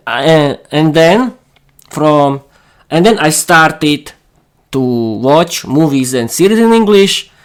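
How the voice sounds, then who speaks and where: A man in his thirties from East Slovakia with a Slovak accent, hosting his own podcast in halting learner English full of restarts. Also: on the high side. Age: 30s. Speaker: podcast host. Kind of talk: solo podcast monologue.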